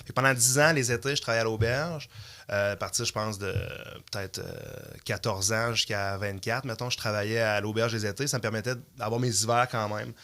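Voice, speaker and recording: A man's voice: fairly low pitch, fairly even intonation, brisk, sharp tone. A man in his thirties with a Québécois French accent, a guest chatting casually in a podcast studio, rambling with many fillers. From Canada. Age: 30s. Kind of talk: casual interview talk.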